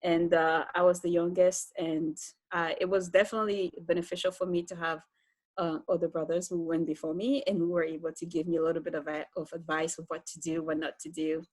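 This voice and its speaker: A woman's voice: fairly low pitch, little pitch movement, brisk, no room sound. A woman from Cameroon with a Cameroonian accent, telling her own story conversationally as an interview guest.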